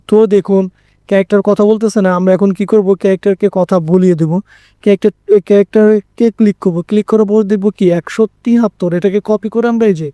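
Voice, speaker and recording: A man with a Bangladeshi accent walks listeners through an informal screen tutorial. His voice is quite high yet mellow, recorded very dry. He repeats phrases for emphasis and often checks that people follow. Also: dry recording. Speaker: animation tutorial presenter.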